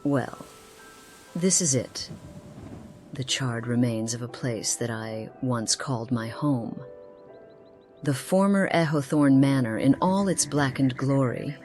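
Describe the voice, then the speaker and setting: A woman playing a composed, formal noblewoman haunted by loss in a fantasy game, with deliberate, archaic phrasing. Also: very deep voice, a little mellow. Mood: sorrowful, hesitant. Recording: faint music behind.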